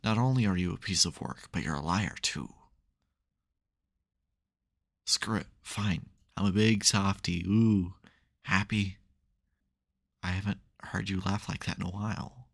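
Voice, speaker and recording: A man playing a supervillain nemesis who is secretly soft, teasing dryly and getting flustered in an intimate audio roleplay. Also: deep voice, moderate pace, vocal fry, heavily acted. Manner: dry teasing, hesitant, mock-grudging.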